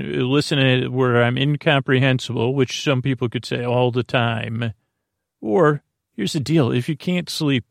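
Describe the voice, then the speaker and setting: A man hosting a bedtime podcast in a gravelly voice, talking in a halting, meandering monologue that keeps interrupting itself and drifting into tangents. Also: medium pitch, no room sound, studio quality. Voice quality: gravelly, creaky. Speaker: podcast host.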